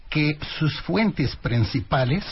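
Husky-voiced man explaining in a radio interview. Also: on the high side, animated. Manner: measured, explanatory, with many pauses. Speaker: interview guest. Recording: dull lo-fi radio sound.

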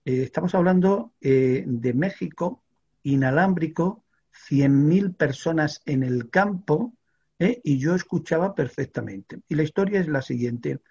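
An older man from Extremadura spins a long reporting anecdote, his voice gravelly and breathy. He keeps restarting and padding his phrases with fillers, and the recording has a slightly muffled, dull tone. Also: a little high, measured pace, darkish tone. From Extremadura, Spain. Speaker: guest, veteran sports radio reporter. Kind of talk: storytelling in a studio chat.

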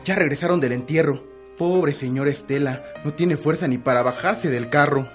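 A woman's voice: low voice, moderate pace, soft background music, natural voice. A woman with a Mexican accent playing a superstitious, gossipy household servant in a melodramatic radio soap opera. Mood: uneasy gossip.

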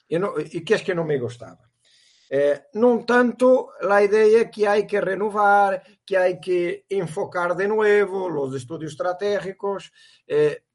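A Portuguese man, a guest academic, talking in a hesitant conversational way, often restarting and slipping into Portuguese words. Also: fairly high pitch.

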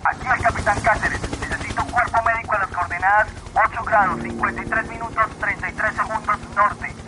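A man's voice: high-pitched, steady tone, a little fast, lightly acted. A man playing a dutiful army helicopter captain, reporting in clipped military style in an amateur radio drama. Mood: formal, dutiful. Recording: noisy helicopter and gunfire effects behind.

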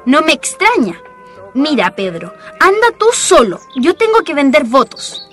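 A feisty teenage daughter from a radio play, acted by a woman, with a very high, swooping voice. She is sassy and indignant, with a Chilean accent, over music. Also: big pitch swings, unhurried, fairly bright tone, heavily acted. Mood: annoyed, indignant. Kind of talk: radio drama acting.

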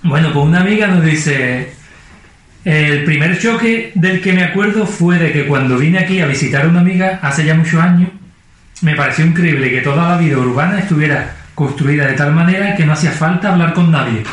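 A man's voice: a little high, a little fast. A man co-hosting a casual chat, retelling listener stories in an easygoing way. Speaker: podcast co-host. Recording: very echoey room.

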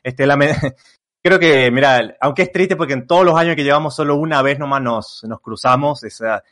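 A man's voice: fairly high pitch, normal speed, fairly bright tone. Young Argentine guy, creator being interviewed, loose rambling delivery full of fillers. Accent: Argentine Spanish. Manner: rambling, many fillers and restarts. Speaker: content creator guest. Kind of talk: casual interview chat.

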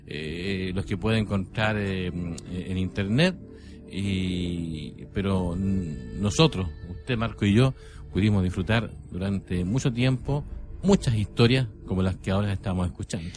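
Low-pitched older male radio host, music behind. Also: unhurried. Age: middle-aged or older. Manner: hesitant, repeats phrases. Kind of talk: nostalgic casual radio chat.

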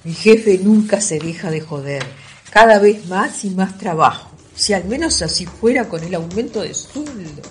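A woman's voice: medium pitch, expressive, moderate pace, natural voice. A woman in amateur radio theatre playing a stressed, irritable young man who grumbles in exasperation. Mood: weary grumbling. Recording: audible background noise.

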